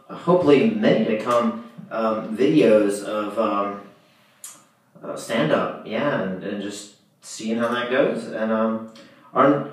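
A young man casually and hesitantly introducing an amateur stand-up show as its host. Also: medium pitch. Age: young adult. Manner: hesitant, halting. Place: indoors, small home room. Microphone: distant camera, handheld microphone in view. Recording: echoey room, far from camera.

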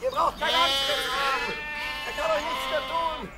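An actor playing a young shepherd calling out in a Bible audio drama, with sheep and goats bleating behind. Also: male voice, extremely high pitch, steady tone, normal speed, very noisy. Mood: calling, reassuring.

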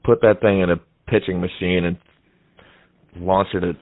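Young guy prank calling, low deadpan voice, casual n halting, dry muffled phone-like sound. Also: no echo. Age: young adult. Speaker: prank caller, comedy show host. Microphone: headset microphone.

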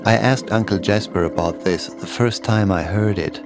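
A man's voice: on the low side, normal speed, over music. A man narrating a scripted first-person horror story as a podcast host.

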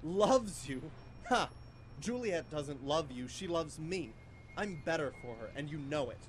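Man acting a taunting, high-pitched young male bully. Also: lively intonation, unhurried. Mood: jealous, threatening. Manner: taunting, threatening. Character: menacing, racist male college student, jealous rival in love. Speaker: student radio actor. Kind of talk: live amateur radio drama acting. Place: small college radio studio. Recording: noisy live student radio studio.

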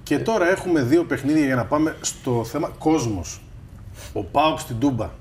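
A man's voice: fairly high pitch, animated, a little hiss or hum. A man hosting a football panel who chimes in and finishes others' sentences. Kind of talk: studio panel discussion.

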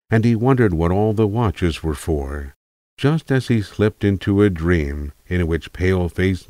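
A man's voice: deep voice, a little mellow, dry recording. A man reading scripted literary narration as a storyteller.

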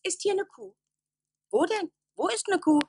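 An actress playing an angry, commanding village wife in a declamatory, reproachful radio play style. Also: female voice, very high voice, animated, normal speed, darkish tone, no room sound, clean studio sound. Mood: scornful. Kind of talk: stage-like radio play acting.

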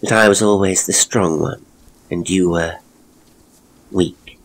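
Guy doing a frail earnest sister in a ghost story, hushed n slow, faint noise behind. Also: low-pitched, steady tone, poor audio. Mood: earnest, confessional.

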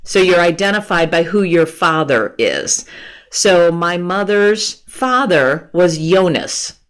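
Woman in her 50s talking slow on a call, earbud mic, patient explainer. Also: fairly low pitch, lively intonation. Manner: thoughtful, laughs often, explains patiently. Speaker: guest author. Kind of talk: conversational interview. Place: room with bookshelves. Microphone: earphone mic on a video call.

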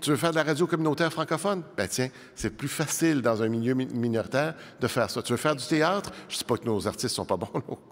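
A middle-aged man argues as a debate panelist, animated and conversational, with quick asides. His voice sits at a middling pitch for a man. It carries the long reverb of a large studio hall. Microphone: desk microphone.

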